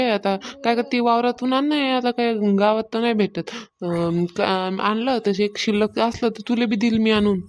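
A woman's voice: mid-range voice, a little mellow, close to an everyday voice. A woman playing an aggrieved village housewife in a cartoon comedy, complaining colloquially with a rural Maharashtrian accent. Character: aggrieved village housewife fretting over her worship. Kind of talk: amateur cartoon comedy dialogue.

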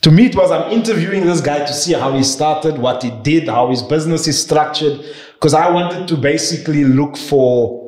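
A South African man in his forties, guest on an interview podcast, telling stories in an animated, chatty way into a boom mic. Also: moderate pace. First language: not Afrikaans. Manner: animated, laughing storyteller. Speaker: guest, property investor. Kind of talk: casual interview storytelling. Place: furnished studio room with bookshelves. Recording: roomy studio echo.

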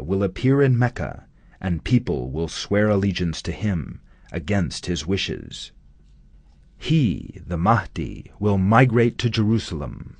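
Low, husky male documentary narrator. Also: darkish tone, no echo. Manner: measured, solemn reading. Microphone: studio voice-over.

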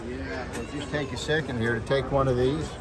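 A man's voice: medium pitch, steady tone, brisk, over a noisy crowd. Sixties male exhibitor, mid-range voice, explaining products plainly, heavy background noise. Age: 60s. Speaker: exhibitor demonstrating machinery. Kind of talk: plain product demonstration talk. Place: large indoor expo hall booth. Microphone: handheld camera at arm's length or further. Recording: very noisy trade show floor.

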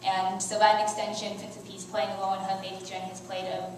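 A woman's voice: medium pitch, monotone, fast, far from the mic, slight background noise. Teen girl preaching in echoey church. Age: teens. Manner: earnest, with soft laughs and small restarts. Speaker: youth speaker. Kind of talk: reading a prepared sermon. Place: church sanctuary. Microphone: headset mic, filmed by far camera.

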